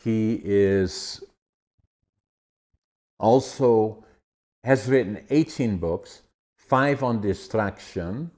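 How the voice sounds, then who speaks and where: An older man from the Netherlands with a Dutch accent, telling his life story in an interview with animated restarts. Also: fairly low pitch. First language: Dutch dialect. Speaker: guest. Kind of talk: conversational interview.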